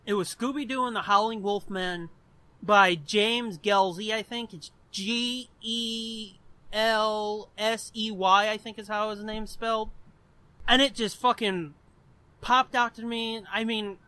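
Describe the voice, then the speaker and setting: A man's voice: high-pitched, fairly even intonation, slow, sharp tone, no room sound. A man in his twenties telling a story on a podcast in a rambling, casual way, swearing often. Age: 20s. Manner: profane and rambling. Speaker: podcast co-host. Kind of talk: casual chat.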